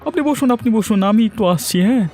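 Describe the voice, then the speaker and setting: A man's voice: high voice, expressive, fairly quick, warm tone, soft background music, pitched above the performer's own voice. A man voicing a flustered, obsequious village schemer in a cartoon. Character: fawning, excitable, cowardly village man, pleading schemer. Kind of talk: Bengali cartoon voice acting.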